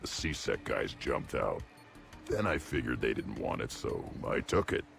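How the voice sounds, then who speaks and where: An actor playing a huge young male alien brute in a video game, blunt and mischievous, growling deadpan in terse sentences. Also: measured pace, faint music behind, full character voice. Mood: deadpan, amused. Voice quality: deep gravelly growl. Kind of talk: video game character dialogue.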